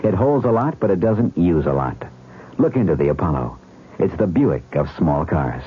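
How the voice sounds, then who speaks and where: A man with a husky voice reading a 1970s radio car advertisement as announcer. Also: low voice, big pitch swings, moderate pace. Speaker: commercial announcer. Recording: tinny old radio broadcast.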